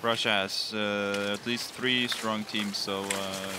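A man's voice: lowish voice, flat delivery, takes it slowly. A man adding brief expert remarks as co-commentator on a ski race, with some hesitant fillers. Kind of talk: live sports commentary. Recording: noisy live broadcast feed.

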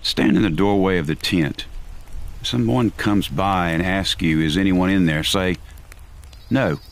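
A man's voice: deep voice, measured pace. A man playing an arrogant, exhausted army general on the run in an amateur audio drama, commanding and self-satisfied. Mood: weary, commanding.

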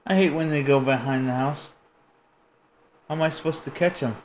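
A man's voice: a little high, moderate pace, slight background noise. A man streaming a video game, chatting casually with crude, joking banter while he plays. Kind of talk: casual gaming chat.

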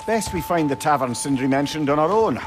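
An actor playing a wry Scottish talking head. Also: male voice, fairly high pitch, lively intonation, fairly quick, music in the background, natural voice. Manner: dry, chatty, learned. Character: wry talking severed head, old male advisor.

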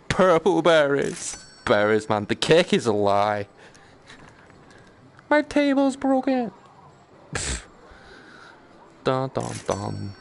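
A man's voice: medium pitch, sing-song, extremely slow, long pauses. A man chatting casually on a live game stream, joking in a slangy, sweary way. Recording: clear background noise.

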